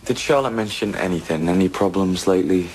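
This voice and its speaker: Man acting very deep, husky police detective. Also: fairly quick, a little mellow, rough recording. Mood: probing. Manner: terse, questioning. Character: dry, probing male police detective. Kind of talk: audio drama acting.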